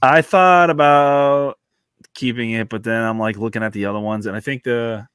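A man of medium pitch chats informally as a podcast co-host. His mic gives a dry, close sound, and he talks like a hobbyist among fellow collectors. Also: fairly even intonation, moderate pace, fairly bright tone, clear, resonant tone, no room sound. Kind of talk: casual group chat about collectible toys.

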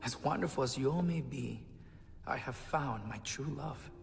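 A man's voice: medium pitch, normal speed, creaky, natural voice. Man playing a lovestruck young cartoon prince, echoing reverb. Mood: solemn, heartfelt. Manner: theatrical, earnest, declaiming. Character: earnest lovestruck young prince, dramatic and romantic. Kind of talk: animated film voice acting. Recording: long reverb, loud music behind. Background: film music.

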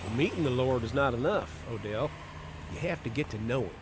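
An actor in an audio drama playing a steady, devout friend and mentor with a measured, exhorting way of talking. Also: male voice, medium pitch, background noise, close to an everyday voice. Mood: firm, friendly.

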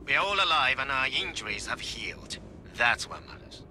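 Stoic assassin over radio. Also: male voice, medium pitch. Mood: stoic, terse. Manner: deadpan, measured, terse. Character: stoic, deadpan Japanese man, ex-gangster assassin. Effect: two-way radio. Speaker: game character, lookout. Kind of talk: video game cutscene acting. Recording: radio transmission with faint noise.

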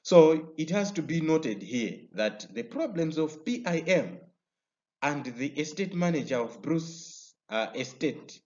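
A man with a Malawian English accent teaching a recorded history lesson over slides. He explains step by step and often pauses on "uh". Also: on the high side, sing-song, darkish tone. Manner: explanatory, many "uh" fillers and restarts. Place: indoor room. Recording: slightly dull lo-fi sound.

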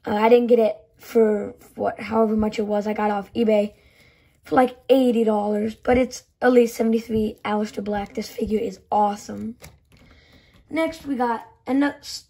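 Hoarse, husky-voiced woman in casual chat. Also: on the high side, darkish tone. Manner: loose and chatty, lots of "um" and "so yeah". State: hoarse from yelling. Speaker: toy collector channel host. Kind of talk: casual video countdown. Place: indoors, small room.

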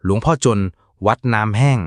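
A man voicing a calm, teasing younger ghost-hunting sidekick in a cartoon. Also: normal speed, no echo, studio quality, lightly acted. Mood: casual. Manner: easygoing, teasing. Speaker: cartoon voice actor.

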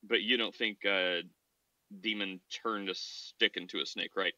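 A man's voice: lowish voice, steady tone, a little slow, bright, forceful tone, no echo. A man hosting a casual podcast discussion, asking long rambling questions in a teasing manner. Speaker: podcast host. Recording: dull dry lo-fi mic.

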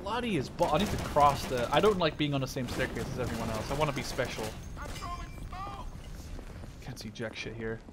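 A man in his twenties giving casual, sweary commentary over first-person shooter gameplay in an excitable manner. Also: medium pitch, fairly quick, pauses often, noisy background. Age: 20s. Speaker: gaming video creator. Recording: loud gunfire and explosions behind. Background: war game sound effects, gunfire, machine guns.

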